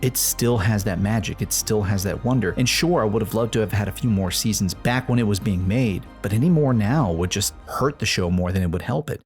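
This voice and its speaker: A man's voice: on the low side, brisk, few pauses, a little mellow, faint music behind. A man hosting his own video channel, talking in an earnest, nostalgic way that leans on repeated phrases. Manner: earnest, nostalgic, repetitive emphasis. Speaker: video essay host. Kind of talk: reflective commentary to camera.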